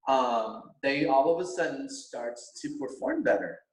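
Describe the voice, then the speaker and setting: A middle-aged man whose first language is Vietnamese, a teacher running for school board, speaking earnestly with many halting restarts. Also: medium pitch, lively intonation. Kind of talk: earnest interview talk. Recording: roomy echo.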